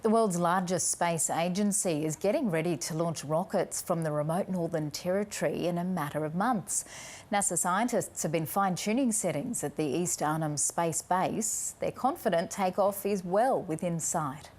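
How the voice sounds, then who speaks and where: A woman's voice: on the low side, normal speed, a little mellow. A woman with an Australian accent presenting scripted news.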